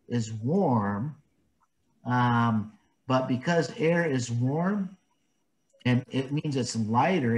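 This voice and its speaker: A man reads a picture book aloud to young children over a webcam on a video call. His voice sits at an ordinary middle pitch, and he talks in a gentle, simple way. He stops to explain the pictures and asks the children questions. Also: poor audio. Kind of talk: storybook read-aloud with explanations.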